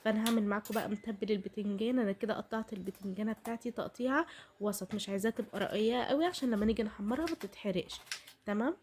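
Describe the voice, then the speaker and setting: An Egyptian woman narrates a recipe in a casual, chatty style, speaking directly to viewers. Her pace is extremely fast and her pitch sits in an average female range. A heavy cold alters her voice, and some background noise and clicking runs under the recording. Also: darkish tone. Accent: Egyptian Arabic. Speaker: home cooking vlogger.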